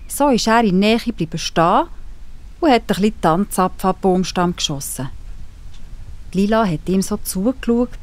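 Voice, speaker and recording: A woman's voice: a little high, darkish tone. A woman with a Swiss German accent narrating a children's story. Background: music.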